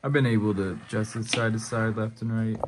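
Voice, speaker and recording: A man with a lowish voice talks through his riding camera setup in an easygoing, rambling way. He often stops to correct himself. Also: fairly even intonation, a little fast, noisy background. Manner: laid-back, rambling, self-correcting. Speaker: motovlogger. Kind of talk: casual gear walkthrough.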